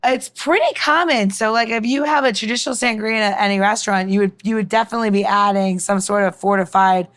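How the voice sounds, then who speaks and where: A woman's voice: mid-range voice, fast, few pauses, fairly bright tone, clear, resonant tone. A woman mixologist giving a casual, informal demonstration, chatting and explaining as she goes. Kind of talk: casual cooking-style demonstration.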